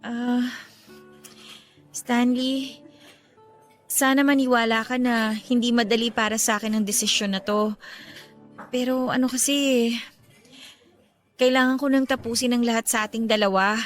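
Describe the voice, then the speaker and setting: A woman's voice: on the high side, steady tone, measured pace, long pauses, faint music behind, natural voice. A woman playing a guarded, irritable young woman in a Tagalog radio romance drama, speaking with emotional emphasis. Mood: grave, hesitant.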